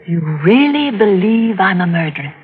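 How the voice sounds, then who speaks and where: An actress playing a scheming, unhinged woman murderer in a 1940s radio drama, speaking in a breathy, theatrical way. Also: medium pitch, expressive, moderate pace. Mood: seductive. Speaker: accused widow. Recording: tinny old radio broadcast.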